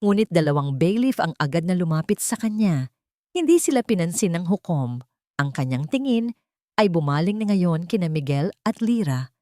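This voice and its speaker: A woman's voice: on the low side, expressive, normal speed, darkish tone, no room sound, studio quality. A woman with a Filipino accent reading a scripted, dramatic love story as its narrator.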